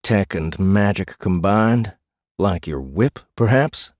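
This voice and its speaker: Renegade space marshal, lowish male voice, close dry sound, measured and deliberate, protective, dryly teasing, audiobook drama. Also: a little mellow, no room sound. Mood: measured, probing.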